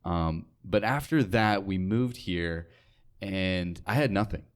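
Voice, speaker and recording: A man's voice: low-pitched, animated, slow, clean tone. A young American man talking casually as a guest in an interview. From United States, North Dakota. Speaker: interview guest, radio sales associate.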